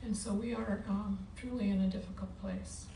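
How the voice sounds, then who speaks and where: Woman, candidate at a forum; mellow, even delivery, halting, recorded at a distance. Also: medium pitch. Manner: halting, repeats words. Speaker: school board candidate at a forum. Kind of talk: unscripted public forum answer. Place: meeting board room. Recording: echoey board room, distant mic, background noise.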